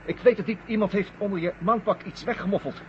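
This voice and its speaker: An actor playing an excitable, anxious astronaut crewmate in a 1970 science-fiction radio drama, urgent and questioning. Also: male voice, sits high, fast talker, close to an everyday voice. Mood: alarmed, suspicious. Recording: muffled, noisy old radio drama recording.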